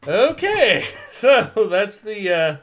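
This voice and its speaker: A man's voice: sits high, sing-song, slow pace, a bit bright, faint music behind. A man hosting a casual livestream, rambling through viewer comments with frequent laughs. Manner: rambling, laughs often, many fillers. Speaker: livestream host.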